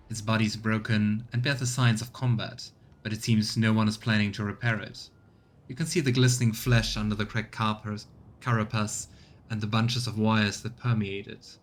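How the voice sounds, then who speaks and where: A man streaming a video game, chatting casually and reading game text aloud in a relaxed, musing way. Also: medium pitch, a little hiss or hum. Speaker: let's play streamer.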